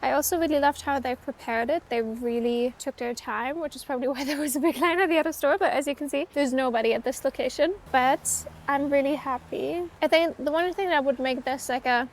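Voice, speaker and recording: A woman's voice: sits high, a little fast, few pauses. A German woman in her twenties, chatting casually and rambling with many fillers while filming a travel vlog on her phone. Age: 20s. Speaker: vlogger. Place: city streets and small eateries. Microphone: phone camera.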